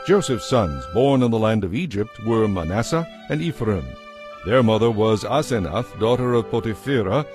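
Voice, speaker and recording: A man narrating scripture from a script in a measured, solemn manner. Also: medium pitch. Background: music.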